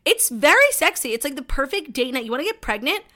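A woman's voice: sits high, sing-song, fast, bright, forceful tone. A woman co-hosting a podcast in casual chat, blunt and teasing, swearing freely. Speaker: podcast co-host.

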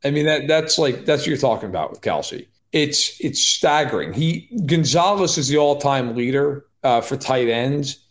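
A man giving quick, opinionated sports analysis as a podcast guest, with wry joking asides. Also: mid-range voice, lively intonation. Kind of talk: casual sports analysis chat. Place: live-streamed podcast.

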